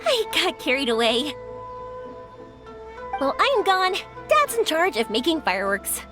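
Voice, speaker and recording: An actress playing a cheerful, excitable young woman artisan in an anime game, with a bubbly, animated way of talking. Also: sits high, measured pace, music in the background, full character voice. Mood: chatty, upbeat.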